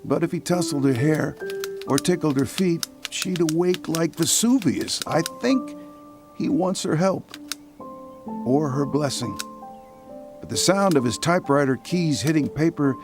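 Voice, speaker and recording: Man narrating calmly, music behind. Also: fairly high pitch, unhurried. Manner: calm, reflective. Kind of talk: scripted narration.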